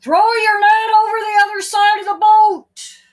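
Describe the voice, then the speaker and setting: A woman voicing a man in a children's Bible story who calls out loudly from a distant shore. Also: very high-pitched, little pitch movement, pitched above the performer's own voice. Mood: calling out. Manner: loud, drawn-out calling.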